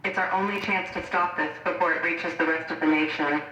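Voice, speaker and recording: A man's voice: fairly high pitch, fairly even intonation, fairly quick, muffled recording, music in the background, close to an everyday voice. A man playing a hard-line military adviser, speaking urgently and formally in a horror audio drama. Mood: urgent, resolute. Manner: formal, forceful. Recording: very echoey, distant, faint noise.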